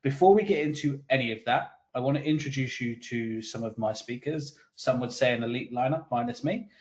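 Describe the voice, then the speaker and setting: A man's voice: medium pitch, a little fast. Playful man hosting a panel. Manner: bright, playful. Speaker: webinar host. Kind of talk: panel moderation. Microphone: video call. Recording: dull lo-fi web call audio.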